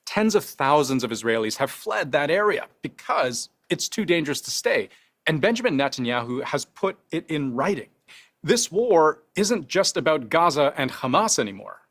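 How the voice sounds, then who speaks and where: A man hosting a news explainer, narrating from a script in deliberate, emphatic phrases with rhetorical questions. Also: mid-range voice, a bit bright. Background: background music bed.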